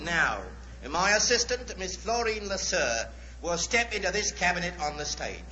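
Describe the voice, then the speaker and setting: An actor playing a suave, grandiose stage magician with florid, formal patter in a 1940s radio drama. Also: male voice, sits high, expressive, moderate pace, bright, forceful tone. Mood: showy, commanding. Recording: noisy, muffled 1940s radio recording, echoey stage.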